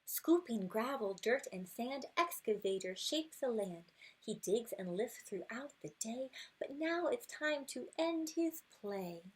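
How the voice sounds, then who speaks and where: A woman reading a rhyming children's picture book aloud expressively, unhurried, with hushed moments and little asides. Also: on the high side, husky, low-quality recording. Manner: expressive, unhurried, drops to hushed whispers at good nights. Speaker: storytime reader.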